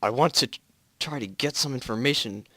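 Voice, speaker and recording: A man's voice: mid-range voice, moderate pace, sharp tone. A man playing a cautious astronaut on the moon in an amateur story reading, clipped and hesitant. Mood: tense, hesitant. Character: tense, cautious male American astronaut on the moon. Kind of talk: amateur group fan fiction reading.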